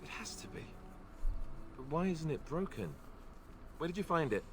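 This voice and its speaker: An actor voicing an earnest young warrior in a fantasy game, speaking hesitantly and with feeling. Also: male voice, a little high, very animated, moderate pace, fairly bright tone, soft background music. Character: earnest, self-doubting young warrior man.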